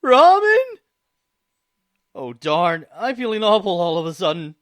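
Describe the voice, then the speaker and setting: Man acting flustered male doctor, excitable, bright tone, slow delivery, dry sound. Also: sits high, dry recording, exaggerated voice. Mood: cheerful.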